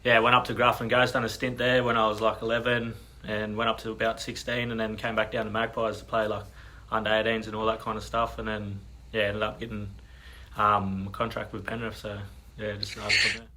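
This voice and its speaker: A man's voice: lowish voice, level tone, a little fast, bright, forceful tone, poor audio. A young Australian man, a rugby league player, answering briefly and hesitantly as a guest. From New South Wales, Australia. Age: young adult.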